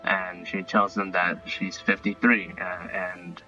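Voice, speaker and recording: A man giving a casual, unscripted review and recap, rambling and halting as he repeats himself. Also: deep voice, flat delivery, sharp tone. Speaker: online cartoon reviewer. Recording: dull lo-fi audio, music bed. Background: faint music.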